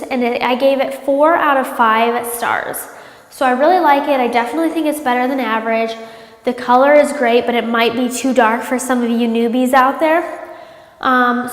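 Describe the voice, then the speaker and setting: Congested woman in an echoey room. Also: high voice. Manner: chatty, many ums, upbeat. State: congested from a cold. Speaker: product reviewer. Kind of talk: casual product review vlog. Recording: echoey room with long reverb.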